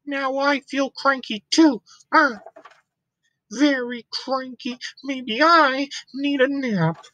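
A man voicing an excitable young female pig in a children's picture book, with exaggerated, childlike, drawn-out delivery. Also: very high voice, highs cut off, voice pushed up, full character voice. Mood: cranky. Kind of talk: picture book read-aloud.

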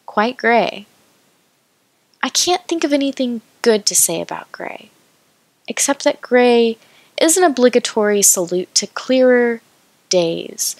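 A woman poet reciting her own work deliberately, pausing between short phrases. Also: fairly high pitch, dry recording. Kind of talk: poetry recitation.

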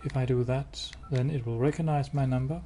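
Mellow-voiced man, halting, over background noise. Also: mid-range voice, steady tone. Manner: halting, matter-of-fact. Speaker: product demonstrator. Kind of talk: hands-on product demo, explaining step by step. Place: indoors, showroom with wall-mounted devices.

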